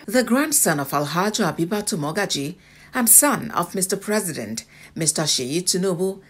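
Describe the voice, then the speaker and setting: A woman's voice: lowish voice, expressive, normal speed, a bit bright, slight background noise. A woman with a Nigerian accent reading a scripted news report.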